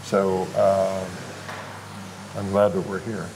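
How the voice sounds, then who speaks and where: A man's voice: low voice, monotone, slow. A man interviewing a guest in an encouraging, conversational way. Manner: encouraging, frequent backchannel. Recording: audible air conditioning hum.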